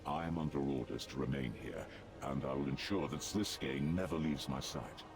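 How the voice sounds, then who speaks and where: A man voicing a grave, loyal demonic warrior in a video game, stern and formal, with a gravelly voice. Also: very deep voice, moderate pace. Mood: grave, resolute. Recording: music behind.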